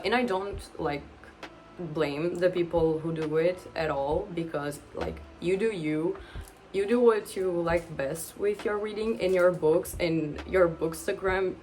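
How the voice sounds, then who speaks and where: A woman's voice: on the low side, darkish tone, slight background noise. A woman in her twenties, a native Portuguese speaker, chatting casually to camera at home, a little awkward and rambling, often laughing. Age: 20s. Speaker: book vlogger. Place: home with pets around.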